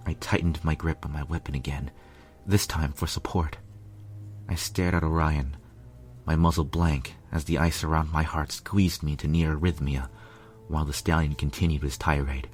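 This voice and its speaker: A man's voice: a bass voice, fairly even intonation, moderate pace. A man narrating a fan fiction story as a lovelorn pony royal guard, grave and dramatic. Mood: anguished. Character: male pony royal guard telling his lovelorn tale. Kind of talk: scripted first-person story narration. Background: music.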